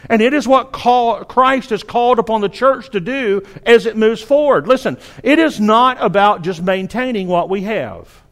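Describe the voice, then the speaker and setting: A man's voice: sits high, moderate pace, few pauses, a bit bright. A middle-aged man with a Southern accent from Arkansas, preaching a sermon in an earnest, exhorting way, often restarting his sentences.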